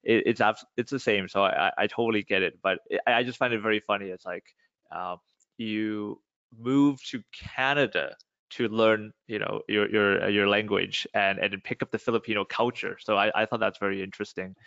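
A man hosting a casual chat, relaxed, laughs easily and repeats himself. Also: lowish voice, moderate pace, vocal fry, dry recording, dull audio.

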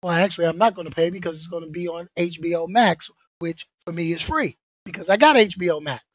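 A man hosting a solo podcast, talking loosely and playfully in slangy, chatty runs. His voice is hoarse from being sick. The sound is close and dry, with no room around it. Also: high voice, fast talker, no room sound. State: hoarse, under the weather. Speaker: podcast host. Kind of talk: casual solo podcast chat.

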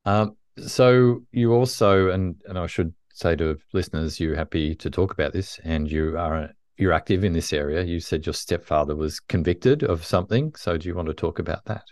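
A man's voice: low-pitched, a little mellow, dry recording, clean studio sound. A man hosting an interview, asking curious questions that often start over. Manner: curious questions with halting restarts. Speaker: podcast host. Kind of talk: interview conversation.

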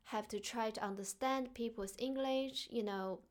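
A woman from China with a Chinese accent, speaking earnestly and softly as the host of a reflective solo podcast. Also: fairly high pitch, moderate pace.

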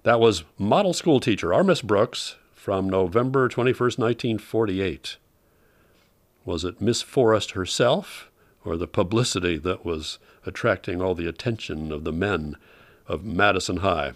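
A man's voice: deep voice, normal speed. A man hosting an old-time radio showcase with scripted, informative narration.

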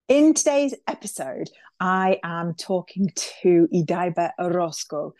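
A woman's voice: fairly low pitch, no room sound. A woman hosting a podcast interview, chatty and enthusiastic, full of praise for her guest. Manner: gushing and enthusiastic, chatty with fillers. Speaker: podcast host. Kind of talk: casual interview chat.

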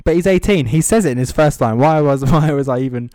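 Mellow-voiced man riffing sarcastically. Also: on the high side, fast talker, no room sound, clean studio sound. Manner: sarcastic, mocking asides; sweary. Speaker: comedy host reading social media comments. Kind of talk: casual off-the-cuff comedic commentary.

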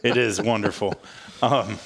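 Male podcast co-host, lowish voice, loose and jokey scripture recap, happy to poke fun at himself. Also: fairly even intonation, measured pace, gravelly, slightly rough. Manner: jokey, self-mocking, informal phrasing. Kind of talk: casual scripture recap chat.

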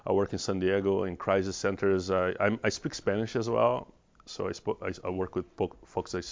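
A man's voice: low-pitched, little pitch movement, normal speed, vocal fry, a little mellow. A middle-aged man with a Brazilian accent, giving a presentation to an audience and often restarting and repeating words. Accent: Brazilian Portuguese. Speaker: regional director of mental health programs. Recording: dull lo-fi sound.

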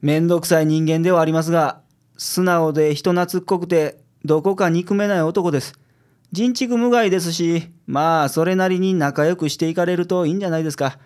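A man playing a gruff, self-assured private detective with a Kansai accent in a radio drama. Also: a little high, fairly even intonation, a little fast, darkish tone, close to an everyday voice. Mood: formal, reassuring. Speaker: cast member of a community radio show.

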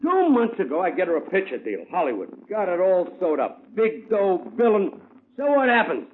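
An actor playing a fast-talking, excitable male talent agent in a 1950s radio drama, using streetwise slang. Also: sits high, slight background noise. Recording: tinny old radio broadcast.

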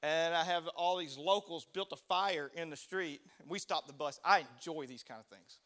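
A man's voice: a little high, moderate pace, fairly bright tone. A man preaching a sermon, in a conversational way, asking the crowd to respond. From United States. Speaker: pastor.

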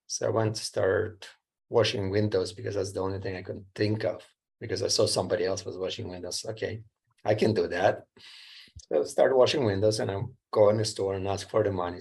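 A man's voice: on the low side, fairly even intonation, a little fast, vocal fry, darkish tone, slightly rough. A middle-aged man from Ukraine with a Ukrainian accent, telling his story as a guest in a plainspoken, slightly broken English. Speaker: guest entrepreneur. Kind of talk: casual interview storytelling.

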